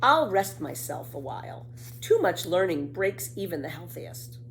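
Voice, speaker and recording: A woman's voice: fairly low pitch, sing-song, a little slow, a bit bright, slight background noise, exaggerated voice, rough recording. A woman voicing a cheeky, boastful young girl who spins tall tales in a children's storybook, with breezy, emphatic delivery. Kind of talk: children's storybook read aloud.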